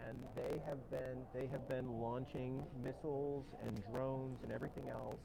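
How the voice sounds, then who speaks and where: A man's voice: medium pitch, little pitch movement, moderate pace, gravelly, crowd noise. A man co-hosting a casual podcast, explaining things at length with many restarts. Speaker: podcast co-host. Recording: noisy background.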